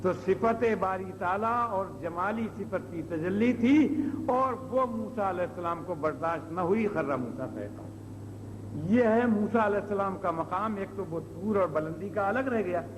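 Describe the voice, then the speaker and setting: A man's voice: high voice, a little slow. A man preaching an Islamic sermon in an explanatory way, asking rhetorical questions and repeating his points. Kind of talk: sermon, explaining religious stories. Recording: old noisy cassette, long hall reverb.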